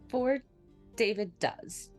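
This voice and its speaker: A woman's voice: mid-range voice, takes it slowly, a little mellow, soft background music. A woman improvising narration as a player in a live tabletop roleplaying game.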